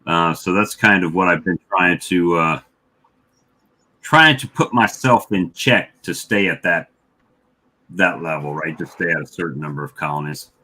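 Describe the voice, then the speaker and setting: Low-voiced man in his fifties, chatting casually. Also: moderate pace, bright, forceful tone. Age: 50s. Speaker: beekeeper livestream host. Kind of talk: casual livestream chat.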